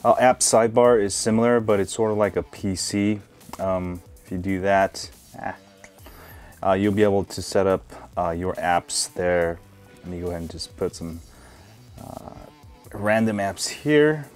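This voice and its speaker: A man hosting a casual hands-on phone review, relaxed and full of filler words, recorded indoors at a desk. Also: low voice, a little slow, faint music behind. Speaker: tech video host.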